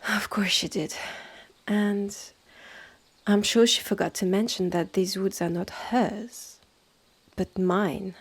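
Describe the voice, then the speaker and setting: Woman doing a witch's sister for roleplay audio, french accent, breathy n husky, slow, haughty, guarding her land. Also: mid-range voice. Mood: scornful, amused. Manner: slow, measured, condescending, with breathy drops to near-whisper. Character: haughty, territorial witch's sister running a secretive woodland hotel. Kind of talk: scripted roleplay audio drama.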